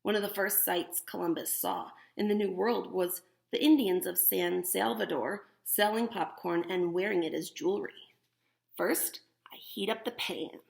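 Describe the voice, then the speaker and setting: A woman's voice: medium pitch, unhurried, vocal fry. A woman teacher reading a picture book aloud to young children, expressively and with simple explanations. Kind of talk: animated picture-book read-aloud with demonstration asides.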